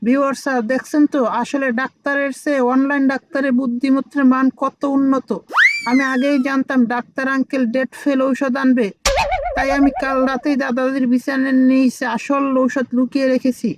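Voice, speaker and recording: Actor doing little cartoon boy, high flat-ish voice, bossy know-it-all playing doctor, noisy track. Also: a little fast, close to an everyday voice. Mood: cocky. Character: cheeky, cocky five-year-old village boy playing doctor. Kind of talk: comic cartoon dialogue.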